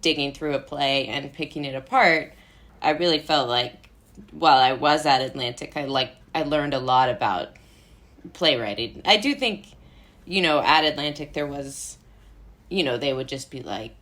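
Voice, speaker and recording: A woman's voice: extremely low pitch, normal speed, fairly bright tone. An American woman from Brooklyn, a podcast guest, chatting casually in a rambling, self-interrupting way. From Brooklyn, New York, United States. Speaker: interview guest, playwright and actor. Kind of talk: casual chat.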